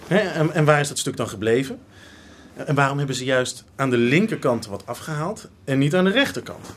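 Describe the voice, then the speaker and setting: A man's voice: mid-range voice, lively intonation, a little fast, a little hiss or hum, lightly acted. A man playing a young art history student, obsessive and teasing, in a radio drama. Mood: obsessive, animated. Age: young adult.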